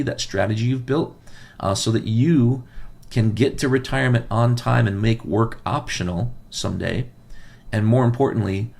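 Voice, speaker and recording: Man, low voice, gravelly fry, losing his voice, podcast host, earnest advisor tone. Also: darkish tone. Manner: earnest, repeats key points for emphasis. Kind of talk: podcast monologue giving financial advice.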